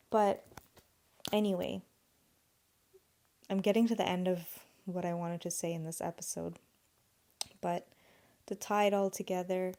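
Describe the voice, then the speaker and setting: A woman's voice: fairly low pitch, dark tone. A young woman whose first language is Urdu, hosting a solo podcast and thinking aloud in an earnest, rambling way. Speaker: podcast host.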